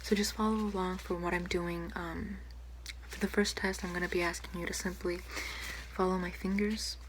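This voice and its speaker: Young female, ASMR doctor roleplay. Hushed and breathy, very even intonation, polite and unhurried, noisy home recording. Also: fairly low pitch. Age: young adult. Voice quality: soft, breathy, often whispering. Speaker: ASMR creator playing a doctor. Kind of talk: ASMR roleplay, soft-spoken and whispered. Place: small room at home, indoors. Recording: noticeable background hiss, home recording.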